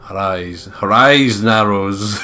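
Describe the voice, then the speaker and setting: A man's voice: fairly low pitch, takes it slowly, sharp tone. A man hosting a let's play, commenting casually and reading game text aloud in a jokey, sweary way. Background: game music.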